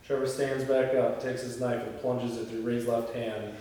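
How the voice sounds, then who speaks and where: A man's voice: medium pitch, flat delivery, normal speed. Distant man reading in a very echoey space. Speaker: stage-direction reader and film crew member. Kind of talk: reading screenplay directions at a table read, casual discussion. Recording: echoey hall, distant mic, faint noise.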